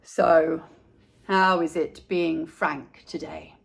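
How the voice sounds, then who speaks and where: A woman voicing a calm, kindly, wise old grandfather in a storybook, with a measured, gently advising manner. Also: low-pitched, vocal fry, slightly rough, pitched below the performer's own voice, heavily acted.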